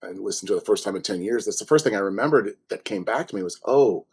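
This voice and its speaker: A man's voice: fairly low pitch, animated, quick pace, creaky, darkish tone, husky. A man chatting casually and enthusiastically as an interview guest, repeating words for emphasis. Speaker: audio drama writer, podcast guest.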